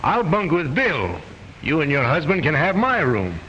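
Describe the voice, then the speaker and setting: An actor playing a calm, practical hotel keeper in 1940s radio drama style. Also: male voice, fairly high pitch, unhurried, highs cut off, slight background noise, full character voice.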